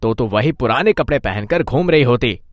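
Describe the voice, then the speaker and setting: A man voicing a quick-tempered, bickering village husband and petty thief in a cartoon, with dramatic delivery. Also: medium pitch, expressive, no echo, studio quality, lightly acted. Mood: scolding. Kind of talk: Hindi moral-story cartoon dub.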